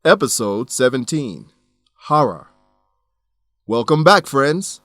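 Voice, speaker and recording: A man narrating a scripted educational radio drama as its announcer, in a clear and deliberate presenting manner. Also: mid-range voice, big pitch swings, a bit bright, no echo, studio quality.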